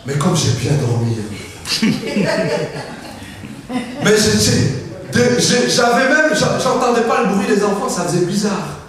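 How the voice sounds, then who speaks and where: Francophone male preacher, high-pitched and emphatic, in a very reverberant hall and heard from a distance. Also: unhurried, pauses often, a crowd in the background. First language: French. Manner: emphatic preaching with call-and-response and frequent hallelujahs; lively pitch swings, drops to quiet asides and jokes. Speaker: pastor. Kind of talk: Pentecostal sermon. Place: large church hall. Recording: very echoey hall, mic at a distance.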